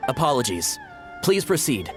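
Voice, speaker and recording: Formal palace guard, animated delivery. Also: male voice, a little high, normal speed, soft background music. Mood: startled, deferential. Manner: formal, emphatic. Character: stern, dutiful male palace guard, formal and deferential. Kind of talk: video game character dialogue.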